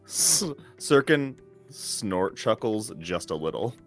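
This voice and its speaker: A man roleplaying in a tabletop game, narrating and speaking in character in a measured, understated way. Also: on the low side, expressive, a bit bright, faint music behind.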